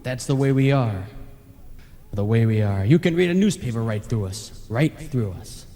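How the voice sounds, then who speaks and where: An actor playing a chatty, peevish, matter-of-fact ghost in a folksy old-time radio comedy manner, with hollow reverb on the voice. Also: male voice, mid-range voice, animated, moderate pace, warm tone, close to an everyday voice. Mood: insistent.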